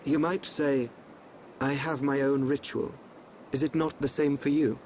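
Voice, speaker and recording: Guy doing mysterious calm seer for a fantasy game, formal n cryptic, medium pitch. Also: unhurried, a little hiss or hum, lightly acted. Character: enigmatic young male royal seer, calm and knowing. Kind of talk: scripted video game cutscene acting.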